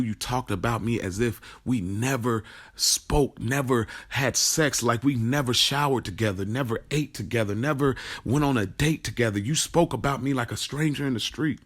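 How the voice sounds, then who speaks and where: A man's voice: medium pitch, lightly acted. An actor playing a wounded, angry young man in an audio drama monologue, emphatic and profane, repeating his phrases. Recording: loud music behind the voice. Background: music.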